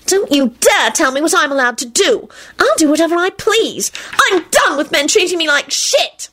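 A woman's voice: high voice, expressive, normal speed, fairly bright tone, voice pushed up. A woman voicing a stubborn, bratty young woman in an audiobook story, snappish and defiant. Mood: furious, defiant. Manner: snappish, defiant, screechy outbursts.

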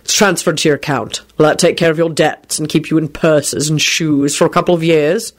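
A woman voicing a gruff, impatient but generous middle-aged father in an audiobook, with a blunt, cross manner. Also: sits low, fairly even intonation, fairly quick. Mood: impassive.

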